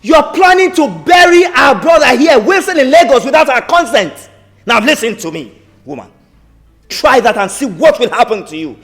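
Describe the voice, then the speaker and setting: Angry uncle, Nigerian accent, raised pitch, very echoey room, faint music. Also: male voice, animated, normal speed, sharp tone, distant mic, heavily acted. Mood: threatening. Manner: confrontational and accusing. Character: outraged uncle demanding answers.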